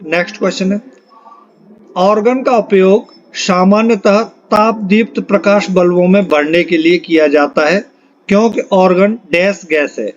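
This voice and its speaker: A high-voiced man leads a quiz-style exam lesson. He reads each item out in an instructional way and repeats key points. The recording sounds dull and lo-fi. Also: fairly even intonation, measured pace. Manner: instructional, repeats questions and answers for emphasis. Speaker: exam-prep physics teacher. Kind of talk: question-and-answer lesson, reading out questions and options.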